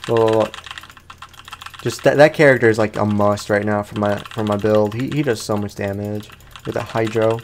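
A man's voice: fairly low pitch, fairly even intonation, normal speed, slight background noise. A man giving casual let's play commentary on a video game, chatting to viewers in slangy language. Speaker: gaming YouTuber.

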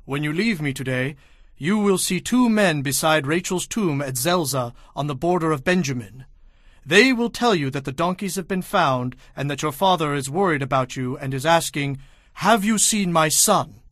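An actor playing a solemn, commanding old prophet in an audio Bible drama, declaiming deliberately. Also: male voice, on the high side, lively intonation, a bit bright, no echo, studio quality. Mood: solemn, instructing.

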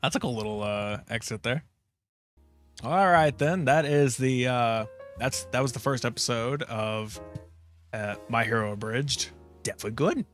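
A man hosting a reaction video with casual, off-the-cuff commentary in a halting, rambling way. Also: mid-range voice, fairly bright tone, soft background music.

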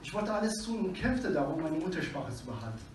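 A man with a Persian accent reading poetry slowly on a small stage, with measured, dramatic pauses. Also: fairly high pitch, big pitch swings, darkish tone, slight background noise. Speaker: poet. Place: small venue with a live audience. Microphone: handheld mic on a stand, recorded from far in the room. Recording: echoey room from far off.

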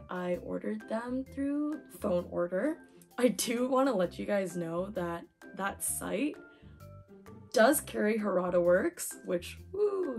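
A young woman with a hoarse voice from talking too much, chatting excitedly while unboxing manga. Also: medium pitch. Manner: chatty, excitable, lots of fillers. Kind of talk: casual unboxing chat.

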